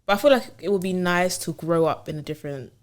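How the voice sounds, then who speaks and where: A woman's voice: lowish voice, quick pace. A woman hosting a podcast, talking in an easygoing, reflective way in casual chat. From Jamaica. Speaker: host.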